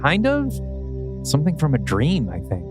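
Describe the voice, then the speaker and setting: A man's voice: fairly high pitch, huge pitch swings, moderate pace. A man playing a mild, bemused and slightly unsettled young father in a script read-through. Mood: puzzled. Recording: music playing behind.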